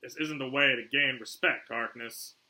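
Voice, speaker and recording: A man's voice: medium pitch, steady tone, moderate pace, pushed and bright, voice pushed up, low-quality recording. A man voicing a stern, commanding military officer in a story.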